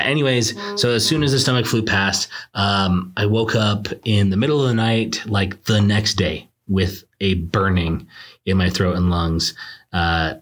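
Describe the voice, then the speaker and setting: Hoarse, deep-voiced young man joking. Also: a bit bright. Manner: self-deprecating, joking, laughs often. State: hoarse, recovering from illness. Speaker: podcast host, law student. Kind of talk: casual monologue and interview.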